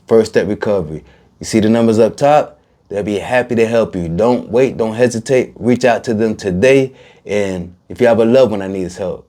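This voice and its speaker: Laid-back low-voiced man hosting a podcast. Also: warm tone. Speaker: podcast host. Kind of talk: casual recovery podcast interview.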